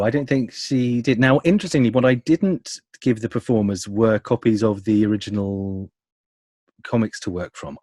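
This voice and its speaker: A man's voice: on the low side, darkish tone, slightly rough, no echo, studio quality. A man talking enthusiastically in an interview as an audio producer, wordy and often rephrasing as he goes. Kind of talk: conversational interview about creative work.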